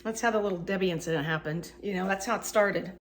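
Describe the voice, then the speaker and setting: A woman's voice: medium pitch, fast. A middle-aged woman with a stuffy cold, rambling casually and poking fun at herself in a home kitchen vlog. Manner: chatty, rambling, self-deprecating. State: congested with a cold. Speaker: vlogger. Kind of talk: casual chatty cleaning vlog.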